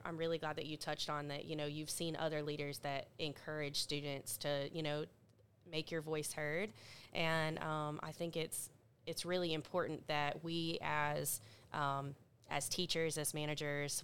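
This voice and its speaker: A woman's voice: low voice, vocal fry, a bit bright, slight background noise. A woman co-hosting a nursing podcast, speaking earnestly and encouragingly and repeating points for emphasis. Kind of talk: conversational podcast discussion.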